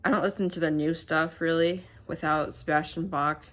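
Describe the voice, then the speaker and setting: A woman sits at home chatting straight into her camera mic, her voice low and a little stuffy and nasal. She rambles, pausing and hunting for words as she goes. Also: steady tone, a bit bright. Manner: rambling and halting, many fillers. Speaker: channel host. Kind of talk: casual to-camera vlog chat.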